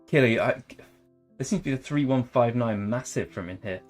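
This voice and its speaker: A man's voice: medium pitch, moderate pace, soft background music. A young man chatting casually while live-streaming a strategy game, rambling and joking. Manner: jokey, rambling, tries silly accents. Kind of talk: casual live-stream chat over gameplay.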